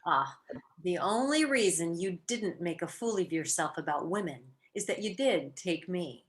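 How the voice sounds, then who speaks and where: A woman's voice: lowish voice, expressive, moderate pace, lightly acted. A woman playing a clever, arch young socialite wife in a vintage-style radio play. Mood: playful teasing.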